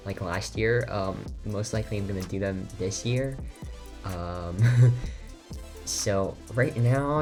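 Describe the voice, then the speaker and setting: A man's voice: fairly low pitch, a little mellow. A teenage boy whose first language is Lithuanian, chatting casually in a voiceover over game footage, rambling with lots of fillers and restarts. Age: teens. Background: faint background music.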